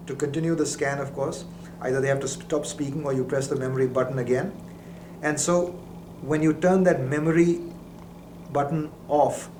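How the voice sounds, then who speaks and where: A man giving a methodical, explanatory product demonstration, as a presenter. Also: a little high. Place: indoor lab. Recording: roomy echo, faint background noise.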